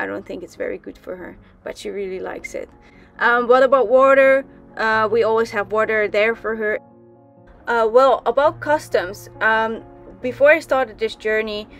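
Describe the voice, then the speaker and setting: Young woman from the Netherlands, mid-pitched, chatty vlog voice-over with light music behind. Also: a bit bright. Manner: chatty, with many ums and restarts. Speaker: sailing vlogger. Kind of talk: casual voice-over narration.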